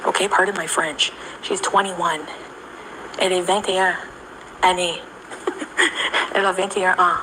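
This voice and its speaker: A woman's voice: lowish voice, steady tone, measured pace, long pauses, pushed and bright, a little hiss or hum, poor audio. A woman livestreaming, talking in a rambling, profane way to her chat.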